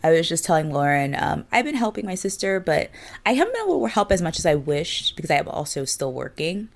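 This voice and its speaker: A woman's voice: fairly low pitch, very animated, fast. A tired, congested woman co-hosting a podcast in casual, joking chat, with a stuffy, nasal sound. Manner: playful, joking. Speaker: podcast co-host.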